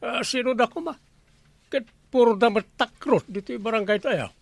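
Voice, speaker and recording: A man's voice: extremely high pitch, normal speed, vocal fry, no room sound, full character voice. A male actor with an Ilocano accent playing a harried, grumbling village captain in a radio comedy drama. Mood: troubled, grumbling.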